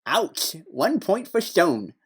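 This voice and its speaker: A man's voice: high voice, very animated, slow pace, a bit bright, slightly rough, heavily acted. A man playing a sarcastic, competitive detective's partner in an audio drama, with a teasing manner. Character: snarky, competitive male detective sidekick.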